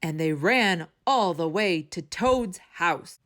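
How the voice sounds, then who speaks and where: A woman reading a children's story aloud as a storytime host, with expressive, dramatic emphasis. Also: on the low side, slow. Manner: dramatic emphasis, asks listeners questions.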